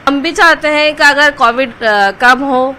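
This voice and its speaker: Bright-voiced woman in a location interview. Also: high voice, measured pace. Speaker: parent interviewed for news. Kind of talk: unscripted interview. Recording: location interview with faint ambient noise.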